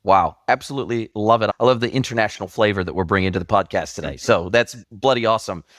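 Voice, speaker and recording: Low-voiced podcast host in his 40s, hard to place international accent, dry sound, super enthusiastic and gushing. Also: fast, fairly bright tone, no echo. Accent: mixed international. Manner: enthusiastic, effusive.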